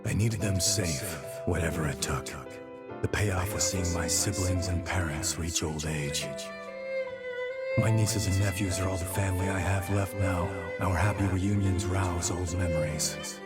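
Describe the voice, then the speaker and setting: An actor playing a seasoned male warrior and loyal mentor in a video game, speaking in a measured, earnest, reflective way. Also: low-pitched, steady tone, loud music behind the voice. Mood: wistful. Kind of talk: video game dramatic dialogue.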